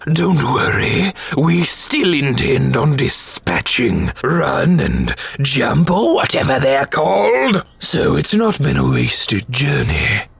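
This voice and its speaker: A man playing a sinister, gloating robot warlord in a fan dub, speaking with theatrical mock politeness in a husky, gravelly, breathy voice. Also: on the high side, a bit bright, exaggerated voice. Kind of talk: scripted fan dub acting.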